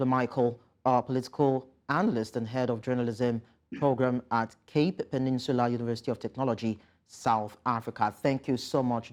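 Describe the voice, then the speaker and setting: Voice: medium pitch, a little mellow. A news anchor presenting reports and putting formal questions to a guest in a live interview.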